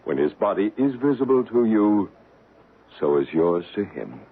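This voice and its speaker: An actor playing a solemn, deliberate male paranormal investigator who narrates and acts in an old radio mystery drama. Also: on the low side, sing-song, slightly rough, exaggerated voice. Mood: solemn, commanding. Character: solemn male paranormal investigator and ghost hunter named Bryce. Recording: noisy, phone-like old radio broadcast recording.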